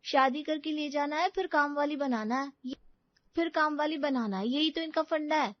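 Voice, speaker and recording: A woman's voice: high-pitched, normal speed, no echo, highs cut off, natural voice. A woman playing an outspoken, cynical unmarried daughter in her twenties in an audio drama, complaining dramatically. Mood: exasperated. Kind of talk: Hindi audio drama acting.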